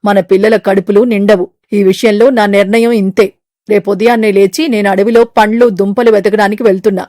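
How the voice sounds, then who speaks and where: A woman voicing a stern male eagle who runs the fruit market, talking in a blunt, domineering way. Her delivery is gruff and commanding. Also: mid-range voice, level tone, quick pace, dark tone, dry recording, studio quality, natural voice. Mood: dismissive. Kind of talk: animated storybook voice acting.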